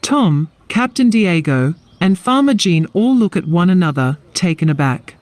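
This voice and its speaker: A man's voice: high-pitched, expressive, normal speed, no room sound. A man narrating a fantasy audio drama, reading scripted scene descriptions as a storyteller.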